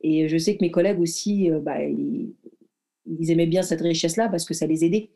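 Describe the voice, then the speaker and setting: Mellow-voiced woman in her thirties. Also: sits low, vocal fry. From Morocco. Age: 30s. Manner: reflective, halting, lots of fillers and restarts. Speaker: guest athlete and former firefighter. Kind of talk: casual conversational storytelling.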